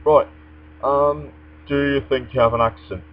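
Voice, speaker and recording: A man's voice: mid-range voice, steady tone, normal speed. A young man speaking English in an articulate, formal way, as if for a personal vlog. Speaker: vlogger. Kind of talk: reading aloud and casual answers to a tag challenge. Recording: dull lo-fi microphone with mains hum.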